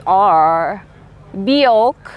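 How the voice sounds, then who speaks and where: A woman's voice: mid-range voice, extremely slow, muffled recording, heavy background noise. A middle-aged woman with a Japanese accent, a freelance illustrator speaking thoughtfully in an interview, often saying "you know" and "like". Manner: relaxed, thoughtful, frequent fillers like "you know" and "like". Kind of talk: interview-style talk to camera. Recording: soft music behind.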